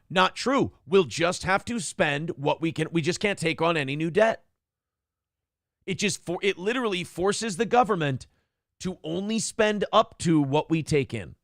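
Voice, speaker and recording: Male host, gravelly, a touch high, crude and offhand, dry close mic. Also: dry recording. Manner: brash, profane, reads viewer donations aloud. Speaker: morning show host. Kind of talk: casual political talk show banter.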